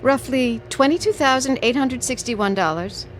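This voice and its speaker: An actress playing a wary, loyal newspaper employee in a radio drama, direct and concerned. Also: female voice, sits high, normal speed, slight background noise, natural voice. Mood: brisk, suspicious.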